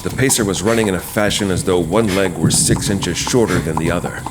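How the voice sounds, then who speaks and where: A man's voice: sits low, a little fast. A man delivering dramatic scripted narration for a horror story as its narrator. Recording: clear background noise, night sound effects.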